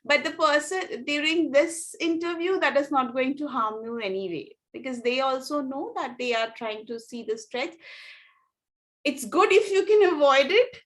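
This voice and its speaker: A woman with an Indian English accent tutoring students in an online English class, giving gentle feedback with many fillers. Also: sits high, lively intonation, moderate pace.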